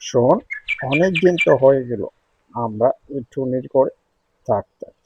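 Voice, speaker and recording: A voice actor playing a scheming male crow thief who talks in a low, conspiratorial way. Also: animated, measured pace, dull audio. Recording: noisy recording.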